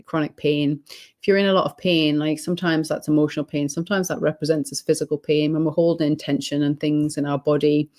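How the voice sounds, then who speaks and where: Slightly hoarse British woman, reflective. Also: low voice, little pitch movement, brisk, a little mellow. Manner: reflective and rambling, many ums and restarts. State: slightly hoarse, losing her voice. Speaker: guest, holistic healing coach. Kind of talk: casual interview chat.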